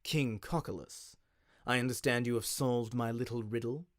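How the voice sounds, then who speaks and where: A man voicing a stern, cruel aging king who speaks in a measured, menacing way. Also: medium pitch, steady tone, no room sound, studio quality, close to an everyday voice. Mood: cold, controlled.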